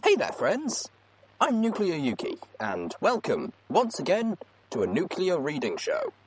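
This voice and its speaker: Animated male show host, theatrical delivery. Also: sits high, unhurried, slight background noise. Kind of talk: upbeat scripted show intro.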